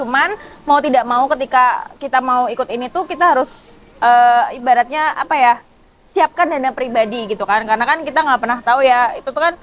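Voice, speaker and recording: A young woman, a student guest, talking casually and often pausing to search for words. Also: high voice, highs cut off. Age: young adult. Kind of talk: casual interview talk. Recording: faint background noise.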